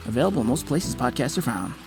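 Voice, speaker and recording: A man's voice: medium pitch, big pitch swings, quick pace, gravelly, a little mellow, soft background music. A man announcing a scripted, joking advertisement for a podcast. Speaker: promo announcer.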